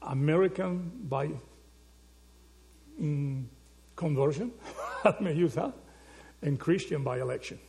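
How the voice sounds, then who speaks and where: A male preacher with a gravelly, creaky edge to his voice. He sits slightly high for a man and works through his points with rhetorical questions, checking in with the congregation again and again. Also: slow pace, long pauses, dark tone. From Argentina. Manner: rhetorical questions, frequent "okay?" and "right?" check-ins. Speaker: pastor. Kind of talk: sermon.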